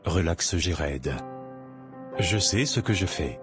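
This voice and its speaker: A man's voice: low voice, animated, takes it slowly. A man doing cocky gang leader. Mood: dismissive. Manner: dismissive, unbothered. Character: cocky young male gang leader who drinks. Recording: music under the voice.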